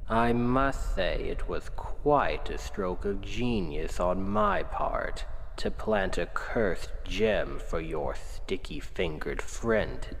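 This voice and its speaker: Guy doing a smug demon king villain for asmr rp, super slow n low, coaxing, whispery at times. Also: vocal fry, fairly bright tone, heavily acted. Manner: slow, deliberate, coaxing, often dropping into whispers. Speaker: villain in an audio roleplay. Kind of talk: ASMR roleplay acting, intimate and theatrical. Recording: long cavernous reverb, faint noise.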